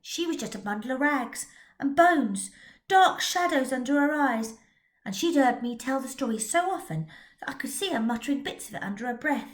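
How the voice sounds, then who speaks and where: A woman author reading her novel aloud, dramatic, dropping to hushed whispers for suspense. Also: sits high, lively intonation, moderate pace.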